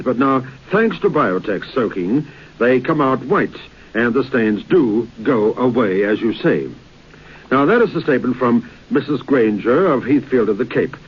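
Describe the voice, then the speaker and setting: Guy doing an old-timey radio ad, chatty and persuasive, muffled with hiss. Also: medium pitch. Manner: chatty, persuasive address to listeners. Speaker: radio advertiser. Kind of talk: 1950s radio commercial.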